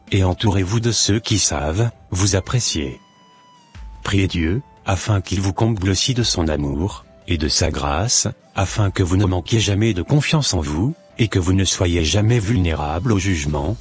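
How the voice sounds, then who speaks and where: Deep raspy guy preaching to the brothers and sisters, slow and earnest, faint music behind. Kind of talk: sermon-like exhortation.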